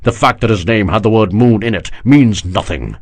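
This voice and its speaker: Stern proud general, husky and unbending, lively rise and fall, read by a male audiobook narrator. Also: fairly low pitch, moderate pace. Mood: scornful. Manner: scornful, unbending. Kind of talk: audiobook character voice.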